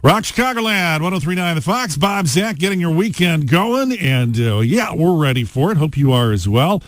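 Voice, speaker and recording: A man's voice: on the high side, sing-song, moderate pace, no room sound. An American man hosting a rock radio show, talking in casual, conversational DJ patter with playful asides. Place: radio studio. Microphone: close studio broadcast mic.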